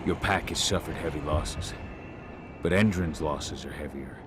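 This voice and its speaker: Game hero: blunt, determined fighter and father, deep and grim, under a loud score. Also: measured pace. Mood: grave. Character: gruff determined male werewolf warrior, a father, blunt. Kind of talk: video game cutscene acting. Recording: loud cinematic music under the voice.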